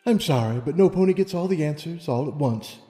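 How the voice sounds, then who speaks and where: A man's voice: a little high, expressive, brisk, mellow, voice pushed up, close to an everyday voice. A man voicing a wise, serene female pony ruler in a storybook reading, with solemn, formal speech. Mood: cautioning. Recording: long reverb, music underneath.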